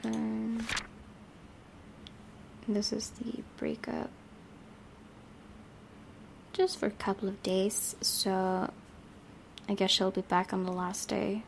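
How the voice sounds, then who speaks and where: A woman's voice: mid-range voice, level tone, takes it slowly, vocal fry, pauses often, slight background noise. A woman hosting a let's play, reading a visual novel aloud and adding casual commentary. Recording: game music behind the voice.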